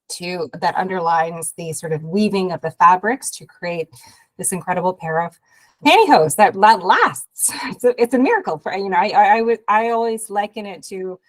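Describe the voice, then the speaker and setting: A woman from Canada, hoarse from a cold, giving a halting webinar talk over a video call with many fillers and restarts. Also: mid-range voice, rough recording. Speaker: guest speaker, investment program head. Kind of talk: webinar presentation.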